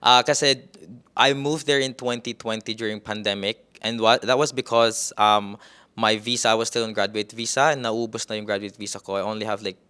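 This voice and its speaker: A man's voice: lowish voice, fairly even intonation, brisk, pushed and bright, clean tone. A young Filipino man with a Filipino accent, a nurse answering interview questions in a casual way, switching between Tagalog and English with many fillers and restarts. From Philippines. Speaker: guest, registered nurse.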